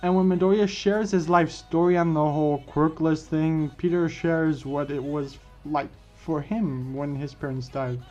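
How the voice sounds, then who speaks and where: Higher-voiced man, halting storyteller over music. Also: steady tone, a little mellow. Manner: halting, repeats and restarts phrases. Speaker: host of a fan what-if story series. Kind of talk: informal scripted fan-fiction storytelling. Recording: dull lo-fi mic, music behind. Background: background music.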